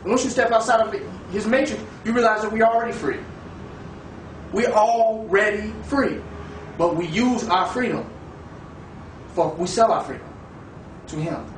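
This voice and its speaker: A man's voice: high voice, normal speed, long pauses. A man arguing a point in an impassioned, preachy way, often asking if the listener understands. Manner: preachy, rhetorical, asks "you understand?". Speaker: discussion participant. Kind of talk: impassioned debate. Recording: echoey room, far from the mic, with noise.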